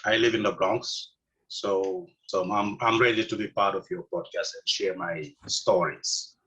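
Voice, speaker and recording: A middle-aged man from Senegal with a Senegalese accent, answering interview questions casually with many pauses, fillers and restarts. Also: fairly low pitch, fairly even intonation, sharp tone, low-quality recording. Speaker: interview guest.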